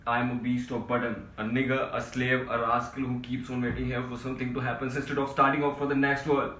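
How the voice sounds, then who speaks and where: An actor playing an exhausted, self-pitying middle-aged civil servant in a stage play, pleading and ranting melodramatically. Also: male voice, fairly low pitch, flat delivery, brisk, natural voice. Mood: hysterical despair. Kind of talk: theatrical stage-play dialogue. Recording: echoey room, distant mic, loud noise.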